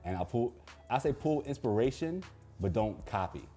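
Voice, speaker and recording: A young man from Baltimore who directs films and music videos, answering questions in a relaxed, laid-back way with many pauses and fillers. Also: mid-range voice. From Baltimore, Maryland. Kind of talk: casual Q&A chat.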